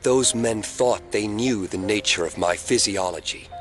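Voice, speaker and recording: An actor playing a scheming telekinetic young man in an audio drama, speaking in a measured, controlling and ominously earnest way. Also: fairly low pitch, fairly bright tone, soft background music. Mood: grave, confiding.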